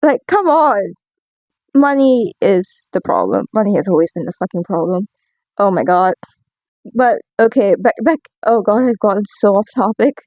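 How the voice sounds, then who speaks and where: Woman, medium pitch, casual rambling commentary, self-deprecating, informal, sweary, dry home recording. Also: lively intonation, moderate pace, a little mellow, dry recording, muffled recording. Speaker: video creator giving commentary. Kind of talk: casual, rambling opinion talk.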